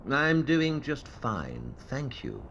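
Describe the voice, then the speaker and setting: A man's voice: mid-range voice, huge pitch swings, slow pace, creaky, a bit bright, a little hiss or hum, heavily acted. An actor playing a coolly logical, aloof male detective in an audio drama, with a detached, matter-of-fact, clipped delivery.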